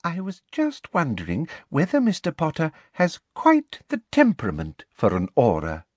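A man's voice: on the high side, huge pitch swings, measured pace, no room sound, voice pushed up, exaggerated voice. A man voicing a falsely sweet, meddling woman official in an audiobook, honeyed, simpering and over-polite. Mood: sickly sweet.

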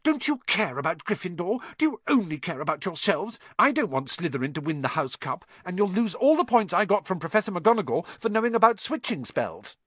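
A man voicing a bossy, indignant young schoolgirl with a shrill, scolding manner in an audiobook. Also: big pitch swings, fairly quick, hardly any pauses, bright, forceful tone, full character voice. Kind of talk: audiobook character voice.

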